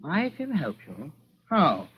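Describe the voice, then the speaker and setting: An actor playing a haughty, evasive, sardonic lawyer with a pompous, condescending way of talking in an old radio drama. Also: male voice, a little high, huge pitch swings, takes it slowly, slightly rough, full character voice. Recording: muffled old radio recording with faint noise.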